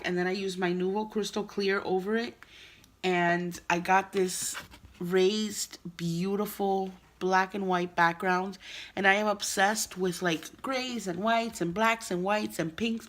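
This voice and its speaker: A woman's voice: on the low side, a bit bright, slight background noise. A woman hosting a card-making tutorial, chatty and explaining each step, sounding nasal from a cold. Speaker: craft channel host.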